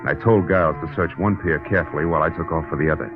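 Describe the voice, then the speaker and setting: Deep-voiced hard-boiled radio private eye. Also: male voice, rapid-fire. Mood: tense, wry narrating. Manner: sardonic, clipped tough-guy slang. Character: hard-boiled private detective, tough, wisecracking adult man. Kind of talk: old-time radio detective drama with first-person narration. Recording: dull old radio recording, music behind.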